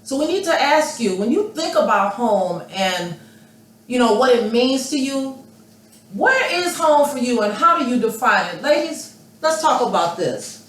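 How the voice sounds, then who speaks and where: A woman's voice: a little high, very animated, a little slow. An American woman from Chicago hosting and moderating a panel discussion. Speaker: host. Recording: echoey room with faint noise.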